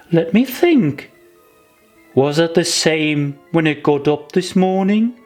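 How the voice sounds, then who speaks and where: A young storybook girl in a very high, lively voice. She is fretful, prim and bewildered, musing aloud in little exclamations. Soft music plays behind her. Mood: puzzled, musing.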